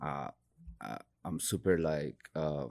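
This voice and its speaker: Very deep-voiced man with Peruvian Spanish accent. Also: animated, slow, gravelly, darkish tone, dry recording. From Peru. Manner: hesitant, searching for words. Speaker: guest, tattoo artist. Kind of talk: casual podcast chat.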